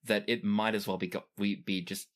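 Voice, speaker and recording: A man's voice: fairly low pitch, little pitch movement, a little fast. A man working as game master, narrating an improvised tabletop roleplaying story.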